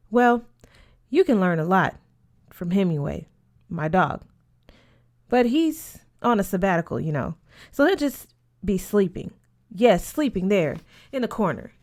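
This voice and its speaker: A woman voicing an eccentric, absent-minded older male English teacher who talks haltingly and repeats words. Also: mid-range voice, lightly acted.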